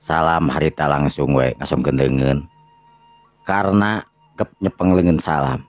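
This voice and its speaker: A man's voice: very deep voice, measured pace, no echo. A man with a Sundanese accent narrating a dramatized radio story in a conversational way, correcting himself as he goes. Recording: muffled lo-fi audio with music behind.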